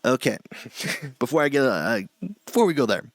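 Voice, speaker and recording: A middle-aged man with a low voice gives a loose, chatty screen-share tutorial from a small home room, often restarting his sentences. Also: sing-song, moderate pace, no echo. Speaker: streamer teaching audio setup.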